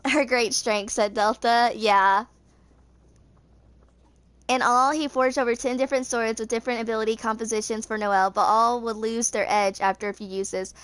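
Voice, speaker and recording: A woman's voice: on the high side, level tone, fast talker, few pauses, a bit bright, no echo. A woman in her twenties reading video game character lore aloud, stumbling over words now and then. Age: 20s. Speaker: gaming video creator.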